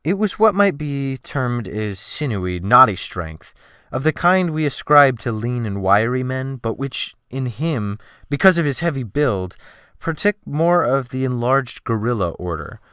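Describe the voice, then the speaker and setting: A man narrating an audiobook as a dramatic reading, with measured, formal and emphatic phrasing. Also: medium pitch, big pitch swings, a bit bright, clear, resonant tone, dry recording, clean studio sound.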